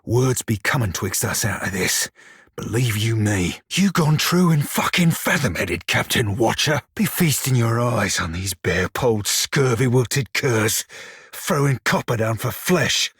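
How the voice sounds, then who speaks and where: Roguish shiphunter, game character, rough husky voice, salty slang, cocky, dry studio sound. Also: male voice, medium pitch, animated, measured pace, fairly bright tone, dry recording, heavily acted. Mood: gruff, scornful. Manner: salty archaic sailor slang, cocky and teasing. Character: swaggering, foul-mouthed blue-furred male pirate shiphunter, roguish. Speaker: video game companion character. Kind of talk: video game character dialogue and barks.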